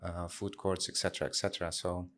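A man in his thirties from Syria, answering interview questions in a relaxed way with many fillers and restarts. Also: sits low, a little fast, slight background noise. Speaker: guest, sustainability lead.

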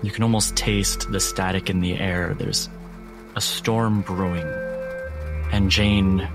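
A man narrating a tabletop game as game master in a deliberate, atmospheric style with dramatic pauses. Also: deep voice.

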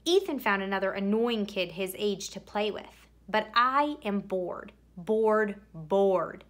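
A woman reading a children's picture book aloud expressively, with theatrical emphasis and drawn-out words. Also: mid-range voice, expressive. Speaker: read-aloud storyteller.

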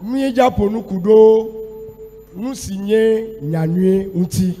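Slow, mellow high-voiced preacher. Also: male voice, faint music behind. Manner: measured preaching that builds into shouts. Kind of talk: sermon delivered in two languages, line by line. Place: large church hall. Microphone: handheld microphone. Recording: long church hall reverb.

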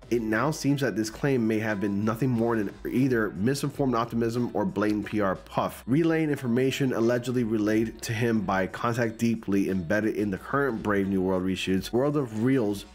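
A man in his forties talks close into a studio microphone in a small home room, his voice a little stuffy from a cold. He sits on the lower side of male pitch and moves between reading news copy aloud and chatty asides to his viewers. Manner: conversational, reads news text aloud with asides. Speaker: YouTube news commentator. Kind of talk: casual commentary reading an article. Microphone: large studio mic close in front.

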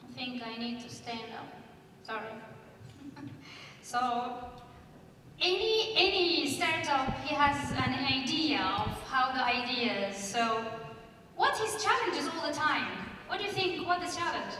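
High bright woman in a big echoey hall. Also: measured pace, distant mic, a little hiss or hum. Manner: asks the audience questions, lively. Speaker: panel speaker. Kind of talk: conference panel talk to audience. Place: large conference hall stage. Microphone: handheld mic.

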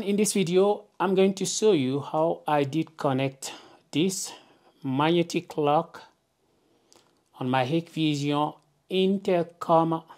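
A woman with a low voice talks through a practical demonstration at a slow pace. She explains things in simple steps, often punctuating them with a checking okay, as an instructor would. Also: darkish tone. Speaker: tutorial presenter. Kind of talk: hands-on instructional narration. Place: indoors, at a wooden work table.

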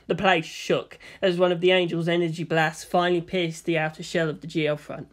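A woman's voice: on the low side, little pitch movement, normal speed. A woman reading scripted fiction as an audiobook narrator.

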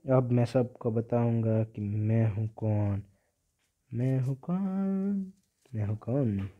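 A man's voice: medium pitch, slow, narrow, tinny audio. A young man with an Indian accent giving casual mobile game commentary, often repeating short phrases. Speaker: gaming video host.

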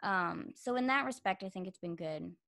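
A woman's voice: animated, normal speed, creaky, pushed and bright. Chatty young woman on a video call. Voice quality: says she sounds young with a high voice. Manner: chatty, many "like" fillers. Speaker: interviewer. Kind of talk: casual video-call interview chat.

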